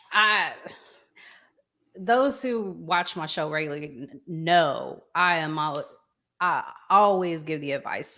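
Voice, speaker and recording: A woman with a Southern American accent hosting a casual talk show, chatty and prone to pausing and restarting. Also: sits low, sharp tone. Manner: chatty, pauses and restarts a lot. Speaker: show host. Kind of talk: casual podcast chat.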